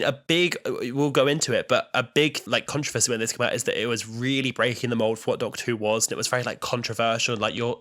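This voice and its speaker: A young man in easy podcast conversation, his voice bright and forward in its middle range. He talks in a camp, animated way and laughs often. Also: medium pitch. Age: 20s. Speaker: podcast co-host. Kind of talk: casual chat.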